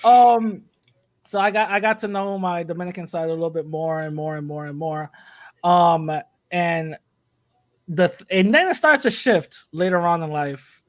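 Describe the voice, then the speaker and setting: A young man talks in a loose, joking ramble full of fillers. His voice sits a little high. The sound is dry and close to the mic. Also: moderate pace, no room sound. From New York City, USA. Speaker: podcast host, interviewee. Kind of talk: casual interview chat.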